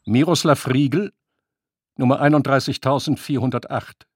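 Man, scripted heading announcements, husky, dry studio sound. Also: mid-range voice, steady tone, normal speed, no echo.

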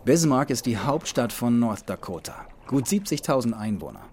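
A man's voice: mid-range voice, sing-song, moderate pace, darkish tone, a little hiss or hum. A man narrating a scripted travel documentary as the journey's own reporter.

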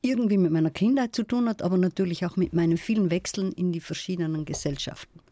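A middle-aged woman with a South Tyrolean accent, telling stories in a thoughtful, measured radio interview. Her voice is warm and mellow. It is recorded close and dry in a studio. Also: lowish voice, dry recording. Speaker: guest, folklorist.

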